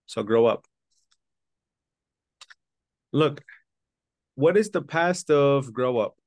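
A man teaches English over a video call, speaking slowly and patiently and repeating each word for his class. His voice sits at a middle pitch, and the sound is dry and close to the mic. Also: lively intonation, darkish tone, no room sound. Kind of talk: online English lesson, explaining in English and Spanish. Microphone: video call webcam.

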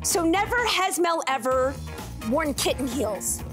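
A woman's voice: sits high, expressive, unhurried, over music. A Canadian woman presenting a baking segment on a studio talk show, bubbly and chatty, laughing often. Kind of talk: lively studio chat. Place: bright TV studio with sofas.

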